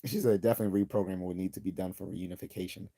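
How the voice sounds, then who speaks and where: A man hosting a casual livestream, reading viewer comments aloud and rambling with many restarts and throat clearing. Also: low voice, steady tone, rapid-fire, dark tone. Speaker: livestream host.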